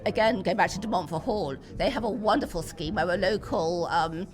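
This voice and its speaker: A woman's voice: fairly low pitch, a little fast. A middle-aged British woman talking as a podcast interview guest, emphatic and conversational, repeating words for stress. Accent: British English. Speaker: interview guest, pantomime enthusiast and parent. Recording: busy crowd chatter behind. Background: chatter of people at a venue.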